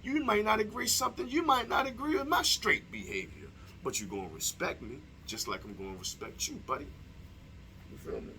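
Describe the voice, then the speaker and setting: A man giving a blunt, profane opinion monologue, talking straight to the listener and swinging between low, quiet remarks and loud outbursts. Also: lively intonation, brisk, a little hiss or hum, poor audio. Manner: direct address to the listener. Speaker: commentator.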